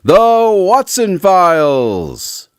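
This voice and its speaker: An actor playing a courteous radio announcer, hosting in an old-time radio style with polite formality. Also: male voice, high voice, huge pitch swings, extremely slow, full character voice. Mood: showy, welcoming. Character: Craig, courteous male radio announcer visiting his doctor friend.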